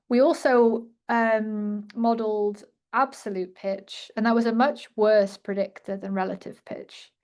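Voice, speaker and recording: A woman's voice: fairly high pitch, darkish tone. Woman thinking aloud, fillers. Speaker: invited speaker answering questions. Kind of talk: academic talk Q&A.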